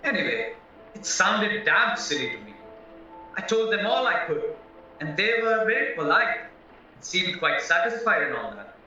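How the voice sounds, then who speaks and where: A man's voice: high-pitched, very animated, moderate pace, sharp tone, exaggerated voice. A man playing a naive, chatty, polite young husband under suspicion in an audio play, earnestly explaining himself. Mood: anxious, earnest. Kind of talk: stage-style audio play acting. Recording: very echoey, distant, muffled, music behind.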